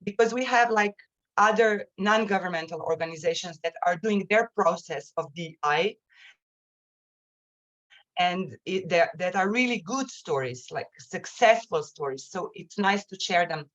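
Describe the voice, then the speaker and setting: A slightly low-voiced woman speaks haltingly, repeating words as she presents and answers in a webinar. The sound is dull and lo-fi, like a muffled online call. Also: fairly bright tone.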